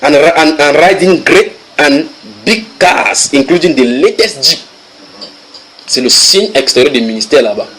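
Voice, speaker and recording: Man, preacher, somewhat high voice, lively sermon, reads English and translates, banters with the congregation. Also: a little slow, low-quality recording. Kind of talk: sermon teaching.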